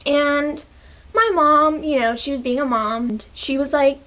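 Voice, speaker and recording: A woman's voice: high-pitched, unhurried, muffled recording. A twenty-year-old woman telling her own story in a casual, chatty way, full of "like" fillers. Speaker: vlogger. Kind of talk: personal storytelling to camera.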